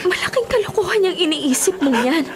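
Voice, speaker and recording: High-pitched anguished young married mother. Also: expressive, brisk. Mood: hurt, defiant. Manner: emotional, pleading, tearful delivery. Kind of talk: radio melodrama acting. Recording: dramatic music under the voice.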